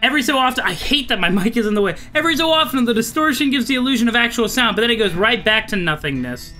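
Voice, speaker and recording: Bright, high-voiced man narrating a game. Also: lively intonation, fast talker, faint music behind. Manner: chatty, amused, wry asides. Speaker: let's play host. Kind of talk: visual novel playthrough with read-aloud narration and casual commentary.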